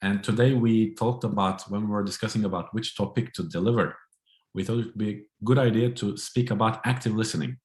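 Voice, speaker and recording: A low-voiced man runs a training session over a video call. He explains in a friendly way, his voice echoing in a roomy space. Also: fairly quick. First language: Turkish. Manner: friendly, explanatory, light laughter. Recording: roomy echo.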